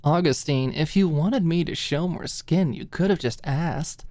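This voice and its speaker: A woman's voice: low voice, a little slow, mellow, dry recording, pitched above the performer's own voice. Sarcastic young woman voiced by a woman narrator, wry, teasing, guarded, dry close sound, audiobook character.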